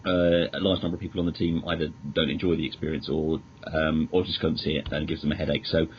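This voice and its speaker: British male interview guest, low voice, hesitant, courteous delivery, dull lo-fi recording with noticeable noise. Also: level tone. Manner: hesitant, stammering restarts, polite and measured. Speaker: podcast guest, magazine editor. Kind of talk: casual interview chat about films. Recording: dull lo-fi audio with clear noise.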